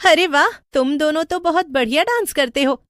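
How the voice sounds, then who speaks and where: Woman voicing a high, sweet young girl. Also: moderate pace, fairly bright tone, no room sound, clean studio sound. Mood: admiring, playful.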